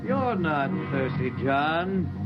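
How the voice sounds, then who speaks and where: An actor playing a menacing Martian posing as a man's brother, slow, ominous and repetitive in 1950s radio drama style. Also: a little high, big pitch swings. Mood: quietly menacing. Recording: dull vintage radio with music.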